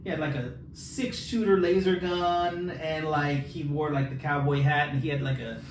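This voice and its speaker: A man co-hosting a podcast, talking casually in a halting, agreeable way. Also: a little high, flat delivery. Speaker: podcast co-host. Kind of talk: casual chat. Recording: echoey room, distant mic, clear noise.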